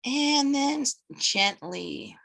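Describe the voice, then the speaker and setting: A woman guiding breathwork and meditation as an instructor, gently giving instructions in short phrases with pauses between them. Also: high voice, animated, gravelly.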